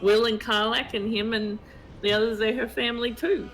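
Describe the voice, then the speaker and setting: A man chatting casually as a gaming partner on a stream, halting and repeating words as his thoughts form. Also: high-pitched, steady tone, a bit bright, slight background noise, low-quality recording. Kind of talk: casual chat about a game's story.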